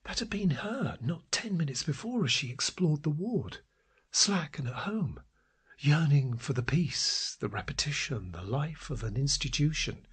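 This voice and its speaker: A man with a husky, breathy voice narrating a literary story in a deliberate, dramatic way. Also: on the high side, animated, fairly bright tone.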